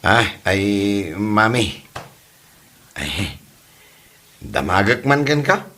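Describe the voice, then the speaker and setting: A man's voice: on the low side, big pitch swings, extremely slow, sharp tone, a little hiss or hum, full character voice. A man playing a meek, whiny, henpecked mama's boy husband in a comedy drama, stammering and exclaiming as he goes. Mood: hesitant. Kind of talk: Ilocano comedy drama acting.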